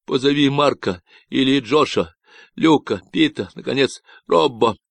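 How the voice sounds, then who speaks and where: A man's voice: medium pitch, measured pace, gravelly, dry recording, pitched above the performer's own voice. A man voicing a panicked young man trapped in a box, pleading urgently over a radio.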